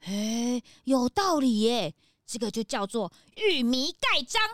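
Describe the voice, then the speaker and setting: A woman playing a timid, whiny, gluttonous young child sidekick in a children's detective audio drama, with exaggerated drawn-out exclamations. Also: on the high side, sing-song. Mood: curious.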